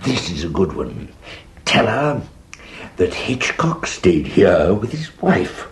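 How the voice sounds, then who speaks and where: Deep, worldly English gentleman. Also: big pitch swings, slow pace, exaggerated voice. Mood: conspiratorial coaching. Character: worldly, self-satisfied English gentleman, scheming older friend. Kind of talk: vintage stage farce acting.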